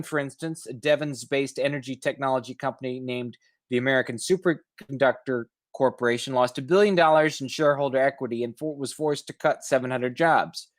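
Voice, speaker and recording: A man's voice: mid-range voice, no room sound, studio quality. A man reading news articles aloud and breaking off into skeptical, opinionated asides of his own. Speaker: host reading news stories with commentary.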